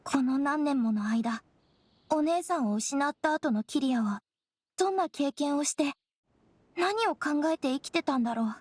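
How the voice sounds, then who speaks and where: A woman's voice: sits high, expressive, moderate pace, darkish tone, dry recording. A woman playing a young, earnest, brooding traveler with plain, direct speech in a Japanese game. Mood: troubled, pensive.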